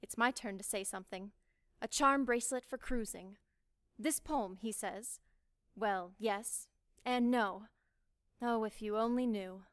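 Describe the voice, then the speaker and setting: Higher-pitched woman reciting poetry, dry recording. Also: measured pace, dry recording. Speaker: poetry reader.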